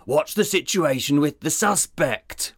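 A man plays a furious police chief who barks his orders and raises his voice high and hard. His pitch swings widely as he rants. The voice sits close in a dry space. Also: expressive, unhurried, dry recording. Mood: angry. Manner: barking, shouting. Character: hot-tempered male police chief, barking and bullying.

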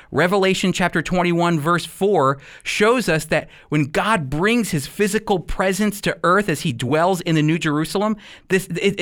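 A man with a moderately high-pitched voice delivers Bible teaching as a radio host. He speaks earnestly and emphatically, leaving deliberate pauses around key phrases in a sermon style. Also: fairly bright tone.

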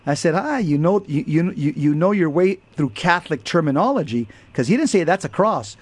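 Man, radio co-host, earnest and emphatic, fairly high voice, close dry studio mic. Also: lively intonation, fairly quick, darkish tone, husky, no echo. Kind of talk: radio talk show storytelling and teaching.

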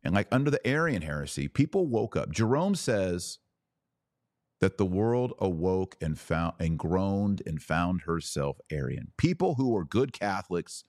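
A man's voice: low-pitched, sing-song, unhurried, darkish tone, no room sound, clean studio sound. A man hosting a religious commentary podcast, speaking in an emphatic, preachy way with short punchy phrases and questions put straight to his listeners. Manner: rhetorical questions to listeners, acting out imagined quotes. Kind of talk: impassioned religious commentary to a live audience.

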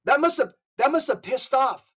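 A man's voice: extremely high pitch, animated, normal speed, a bit bright, slightly rough. A man talking as a guest on a podcast, in a fervent, emphatic way with frequent restarts. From United States. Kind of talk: conversational podcast talk.

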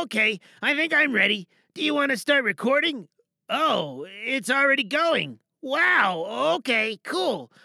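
A woman's voice: high voice, gravelly, pushed and bright, dry recording, clean studio sound, exaggerated voice. A woman playing a small, cheerful, meek helper in an audio drama monologue, hesitant and apologetic, eager to please as they read out an advert. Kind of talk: monologue acting with stilted advert reading.

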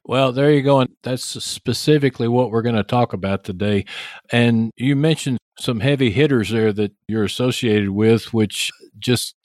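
A man's voice: medium pitch, moderate pace, darkish tone, no room sound, studio quality. A man with a Texan accent hosting a podcast in a folksy, joking way, moving between interview chat and sponsor reads. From Texas, United States. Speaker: podcast host.

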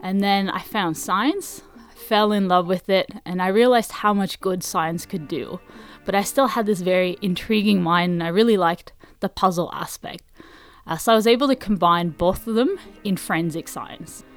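A woman from Norway, a researcher giving measured, factual explanations as an interview guest. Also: medium pitch, soft background music.

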